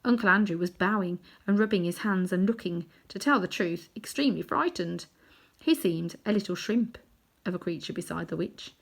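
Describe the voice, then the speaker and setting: A woman reading a children's novel aloud in chapters, adding gentle asides to her listeners. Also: on the low side, moderate pace, gravelly. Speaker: storybook reader.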